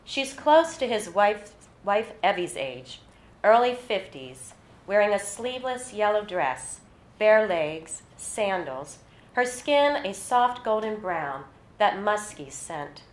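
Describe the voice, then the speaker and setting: A woman's voice: medium pitch, sing-song, slow pace. Echoey live reading by a woman author. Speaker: author reading her own short story. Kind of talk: literary reading of scripted fiction to an audience. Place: indoor hall at a literary reading. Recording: roomy, echoey live event recording.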